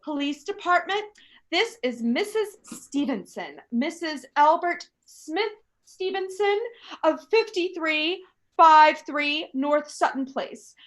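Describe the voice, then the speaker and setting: An actress playing an anxious, demanding invalid wife in a radio play, fretful, indignant and complaining. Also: female voice, high voice, expressive, slow. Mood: agitated, insistent. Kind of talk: stage radio play acting.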